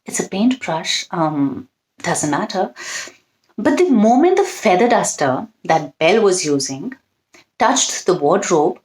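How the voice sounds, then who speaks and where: A woman's voice: fairly low pitch, very animated, unhurried, darkish tone, poor audio. A woman hosting a children's storytime, reading expressively and chatting directly to young listeners.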